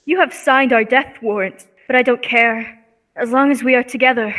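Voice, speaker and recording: A woman plays a brave young Earth woman in peril in an old-time radio serial. Her voice sits fairly high, and she delivers lines earnestly, with melodramatic devotion. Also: fairly even intonation, moderate pace, a bit bright, natural voice. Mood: desperate, devoted.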